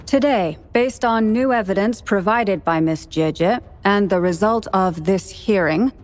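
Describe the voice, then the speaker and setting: Woman doing a composed judge, formal, measured, authoritative, soft background music. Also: mid-range voice, natural voice. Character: composed female judge presiding over a hearing. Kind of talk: video game voice acting. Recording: game audio with faint background music. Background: faint music.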